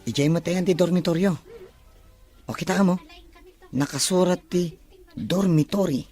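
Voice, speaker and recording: A man's voice: sits high, a little slow, a little mellow. Guy acting as young student from the countryside, radio drama chat, bit of music under him. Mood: chatty, friendly. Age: young adult. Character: young provincial male student new to the city. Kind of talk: acted audio drama dialogue.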